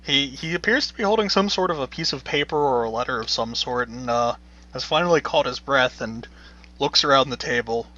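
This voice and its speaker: Laid-back male game master: bright, forceful voice, lots of fillers, dull lo-fi sound. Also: mid-range voice, fairly quick. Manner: relaxed, laid-back narration with frequent fillers. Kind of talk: narrating a tabletop game. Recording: dull lo-fi recording.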